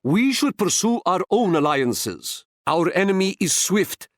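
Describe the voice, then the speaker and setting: A male actor playing a proud, wise Ottoman sultan in a video game, speaking in a stately, formal and measured way. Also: high voice, very animated, fairly bright tone, no echo, clean studio sound, exaggerated voice. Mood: solemn, resolute. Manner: stately, formal, measured, proverb-laden delivery. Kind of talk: scripted video game character lines.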